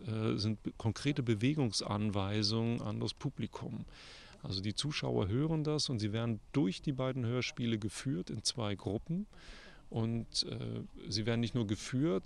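A man's voice: medium pitch, vocal fry. A man being interviewed, explaining a creative project in spontaneous, reflective speech.

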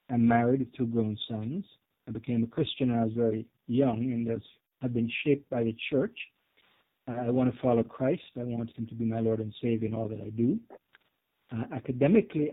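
A middle-aged man with a Jamaican accent explains his ideas in an earnest, measured way. He sounds like a thoughtful professor being interviewed. His voice comes through a thin, phone-like line. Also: mid-range voice. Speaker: guest theology professor. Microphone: telephone.

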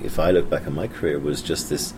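A man's voice: rumbling low, steady tone, fast. A man hosting a podcast, asking friendly, chatty questions in a casual interview. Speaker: podcast host. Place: noisy hotel. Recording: noisy hotel room.

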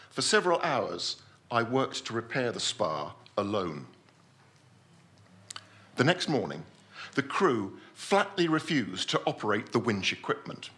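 Man acting pompous gentleman explorer-scientist, self-assured, precise, theatrical storytelling, very lively pitch, forceful bright voice. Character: pompous, obsessive Victorian-style gentleman scientist recounting his deep-sea adventure. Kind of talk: theatrical first-person story reading.

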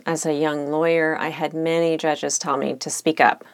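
A woman hosts a solo podcast in a low, steady voice with a touch of vocal fry and uptalk. She talks in a reflective, measured, candid way. The sound is dry and close. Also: no room sound. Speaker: podcast host, lawyer.

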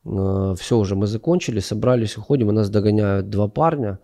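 A man's voice: fairly low pitch, brisk, warm tone. A man being interviewed about his music past, talking casually with many fillers and restarts. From Ukraine. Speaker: guest musician.

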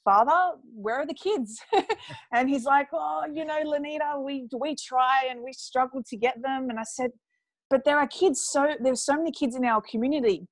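A woman's voice: sits high, normal speed, dry recording, poor audio. A woman from Australia with an Australian accent, chatting upbeat as an interview guest and laughing often. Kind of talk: casual interview chat.

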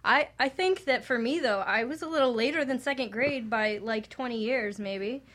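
A woman's voice: fairly high pitch, normal speed, a bit bright. A woman guest on a talk radio show, chatting frankly and laughing often. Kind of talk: candid talk radio chat.

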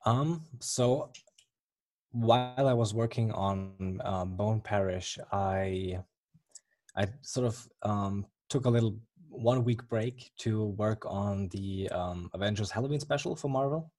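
Hesitant German man, low and dry. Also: slow pace, darkish tone, no room sound. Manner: hesitant, many ums and restarts. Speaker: comic artist guest. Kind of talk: casual interview answers.

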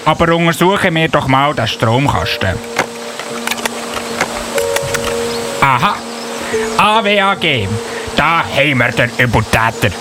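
A man's voice: on the high side, lively intonation, normal speed, over music, lightly acted. A man with a Swiss accent playing a fussy, self-important elf policeman in a radio play, indignant and officious. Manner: indignant, officious, with repeated verbal tic. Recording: noticeable buzzy background noise.

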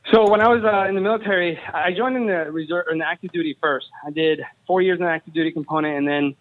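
A man in his thirties from Mexico tells his story in a quick, animated flow, keen and ready with a joke. His voice sits a little high for a man. He comes through a tinny, phone-like line. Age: 30s. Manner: enthusiastic, jokey storyteller. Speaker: guest, company founder and Army veteran. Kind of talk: casual interview chat.

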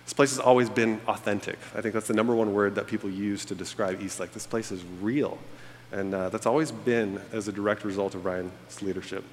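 A man's voice: lowish voice, fairly even intonation, fairly quick, slight background noise. Candid man speaking in a reverberant hall. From Egypt. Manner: candid, self-deprecating storytelling with frequent fillers. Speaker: church staff member giving a farewell speech. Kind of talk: farewell speech to a congregation. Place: church auditorium on stage. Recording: long reverb of a large hall. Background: audience laughter.

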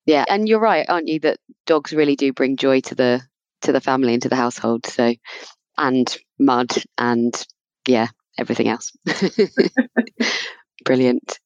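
A man's voice: mid-range voice, moderate pace, clear, resonant tone, dry recording, dull audio. A man hosting a podcast, asking long, rambling questions in a friendly way. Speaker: podcast host. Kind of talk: conversational podcast interview.

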